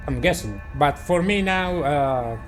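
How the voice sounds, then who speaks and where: A man hosting a gaming channel, giving halting, theatrical playthrough commentary and narration with formal wording. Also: fairly high pitch, expressive, soft background music. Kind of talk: playthrough commentary and read-aloud visual novel narration.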